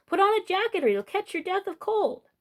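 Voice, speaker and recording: A woman's voice: very high-pitched, very animated, brisk, pitched above the performer's own voice. A woman voicing a fretful old village grandmother in a storybook, exclaiming and scolding. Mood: frantic, fussing.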